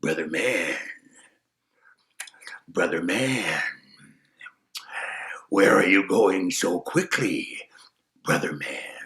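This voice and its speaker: A man voicing a sly, hungry male wolf in a folk tale, speaking in a low hushed whisper with mocking swoops. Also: animated, very slow, pauses often, echoey room, full character voice.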